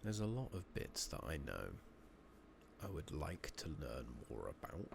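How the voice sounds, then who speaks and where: Soft-spoken British male game streamer, slow and deep, ASMR half-whisper, unhurried casual asides, close mic. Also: sing-song, vocal fry. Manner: casual, unhurried, muttering to himself, mild swearing. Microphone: close microphone. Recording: noisy mic with keyboard clicks.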